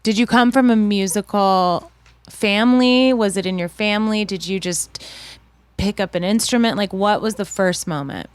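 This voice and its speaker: A woman co-hosting a casual interview, asking questions in a quick, friendly way. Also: mid-range voice, clear, resonant tone, dry recording. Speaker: co-host.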